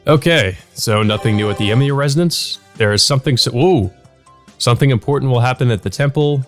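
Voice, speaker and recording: A man's voice: mid-range voice, brisk, faint music behind. A man hosting a let's play, reading a visual novel aloud and adding casual, musing asides to himself.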